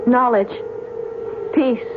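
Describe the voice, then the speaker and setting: Mysterious loving space woman, super slow n calm, gentle, old tinny radio drama. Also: a little high. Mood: calm, beckoning. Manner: measured, cryptic, gently assured. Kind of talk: vintage radio drama acting. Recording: noisy, tinny vintage radio recording.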